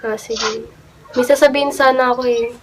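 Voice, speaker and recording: A woman's voice: on the high side, steady tone, normal speed, slight background noise. A young woman with a Filipino accent chatting casually as a vlogger on a phone call. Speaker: vlogger making prank calls. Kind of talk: casual chat. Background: music.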